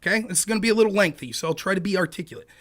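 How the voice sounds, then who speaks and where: A man hosting a heated debate, arguing his point and reading legal text aloud in a measured way. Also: high voice, fairly bright tone. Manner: argumentative, reads legal text aloud deliberately. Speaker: show host.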